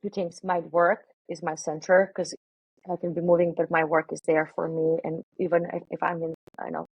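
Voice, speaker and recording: A woman's voice: lowish voice, vocal fry, husky, no echo, low-quality recording. A woman from Bulgaria in her thirties, a guest talking casually and energetically in an interview, with many fillers. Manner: energetic, filler-heavy, quick bursts. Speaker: guest, sales director.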